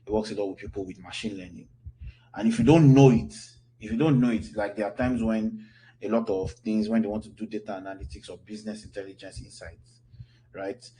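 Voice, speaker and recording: A man's voice: fairly low pitch, fairly even intonation, normal speed, warm tone, slight background noise, poor audio. A Nigerian man in his thirties, an instructor answering questions in a loose, chatty online class. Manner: loose, chatty, with lots of fillers and restarts.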